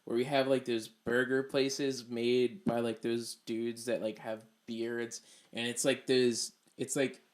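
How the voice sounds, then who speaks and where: A man's voice: mid-range voice, level tone, takes it slowly, few pauses. A man from Delaware hosting a podcast, talking in a loose, profane, chatty way. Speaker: podcast host. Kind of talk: casual podcast interview.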